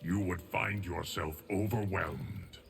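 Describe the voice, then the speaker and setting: A man voicing a solemn, all-knowing, cryptic guardian of time in a video game, in a measured deep voice. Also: fairly even intonation, recorded from a distance, over loud music, heavily acted. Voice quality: deep, gravelly. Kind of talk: video game character dialogue.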